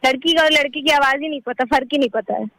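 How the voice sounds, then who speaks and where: Very high-voiced indignant woman on a call. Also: quick pace, fairly bright tone, low-quality recording. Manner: indignant, questioning. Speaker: prank call recipient. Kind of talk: spontaneous phone conversation.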